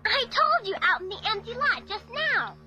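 An actress playing an earnest, excitable young girl in a radio drama, eager and expressive. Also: extremely high pitch, normal speed. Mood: excited pleading. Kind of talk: radio drama acting and first-person narration. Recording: radio drama with music underneath.

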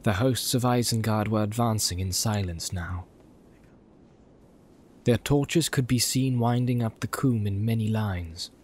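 A man narrating a fantasy novel in a measured, solemn, dramatic reading style. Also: on the low side, darkish tone, a little hiss or hum. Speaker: audiobook narrator.

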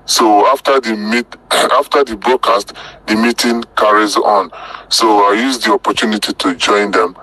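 A Nigerian-accented man tells his own story in a casual way. His voice sits slightly low but has a bright, forceful edge. He moves in short, halting phrases and often repeats himself. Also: fairly even intonation, poor audio. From Delta State, Nigeria. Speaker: storyteller recounting personal experience. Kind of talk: casual spoken testimony.

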